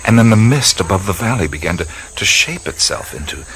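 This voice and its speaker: Soft-spoken adventurer man, very deep voice, lively pitch, hesitant and musing, radio drama, loud crickets behind. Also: a little fast, a little hiss or hum. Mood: earnest. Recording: radio play with loud night insects.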